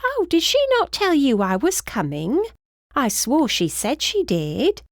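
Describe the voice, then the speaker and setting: A plump older British housekeeper from a storybook. She is bubbly and rambling, fussing cheerfully in a raised, lilting pitch, recorded close and dry. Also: huge pitch swings, unhurried, no echo, full character voice. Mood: chatty, eager.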